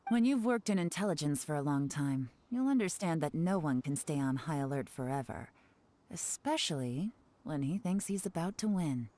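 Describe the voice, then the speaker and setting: A woman voicing a shrewd, self-assured female intelligence agent in a video game, composed and deliberate. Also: sits low, lively intonation, mellow. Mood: composed, commanding.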